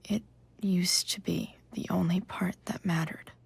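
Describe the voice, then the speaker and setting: An actress playing a wounded, guarded teenage girl in a video game, with halting, hushed delivery and a gravelly edge. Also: lowish voice, steady tone, in a whisper, exaggerated voice. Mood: wistful, vulnerable. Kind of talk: naturalistic video game acting.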